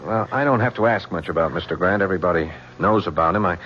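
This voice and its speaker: An actor playing a patient, dogged homicide lieutenant who speaks in a halting, apologetic way with many pauses. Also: male voice, low voice, lightly acted. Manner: halting, apologetic, full of uh's. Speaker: police lieutenant. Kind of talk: 1970s radio drama acting. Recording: dull old radio recording with faint hiss.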